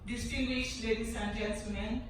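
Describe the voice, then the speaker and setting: A woman's voice: fairly high pitch, steady tone, normal speed. Earnest woman speaking in an echoey hall. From Cameroon. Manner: earnest, emphatic, with repetitions. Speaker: conference speaker. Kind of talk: formal prepared address. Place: large conference hall. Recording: echoey hall, distant mic, background noise.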